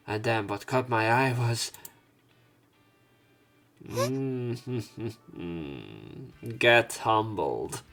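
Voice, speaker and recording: A man's voice: lowish voice, fairly bright tone. A man hosting a let's play, reading a game's narration aloud and commenting as he goes. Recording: game music behind the voice. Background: video game music.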